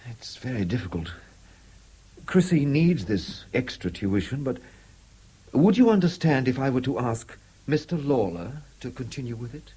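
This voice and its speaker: A soft-edged, husky middle-aged Englishman in a 1970s radio play. He is timid yet persistent, hesitating and repeating himself deferentially, in a mellow mid-pitched voice. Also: animated, moderate pace. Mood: uneasy, pleading. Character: anxious, apologetic middle-aged family man, timid but persistent. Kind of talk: 1970s British radio drama acting.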